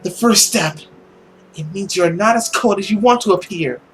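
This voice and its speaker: A man's voice: high-pitched, normal speed, a bit bright, faint music behind. A man voicing an earnest, troubled young woman in a visual novel, insistent and accusing. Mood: stern, reasoning. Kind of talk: visual novel character acting.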